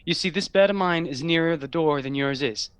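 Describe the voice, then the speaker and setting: An actor playing a troubled, haunted young man, a younger brother, in a halting radio drama performance. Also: on the high side, fairly bright tone, clear, resonant tone, no echo, close to an everyday voice. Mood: subdued. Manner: hesitant, halting.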